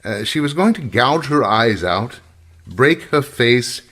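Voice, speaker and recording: An actor playing an affable, wry male professor in an old-time radio mystery, chatty and pausing as he recalls things. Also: medium pitch, animated, fairly bright tone. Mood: wry, obliging.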